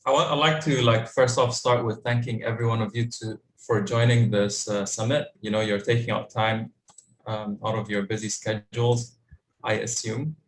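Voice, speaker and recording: A man's voice: on the low side, flat delivery, normal speed. A young man moderating a youth panel online, talking casually with lots of fillers and restarts. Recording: roomy echo.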